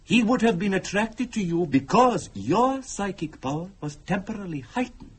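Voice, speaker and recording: An actor playing a formal, courteous mystic who speaks deliberately and eerily in a dramatic radio thriller. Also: male voice, high voice, expressive, slightly rough. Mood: measured, courteous. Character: calm, eerie male mystic telling of curses and spirits.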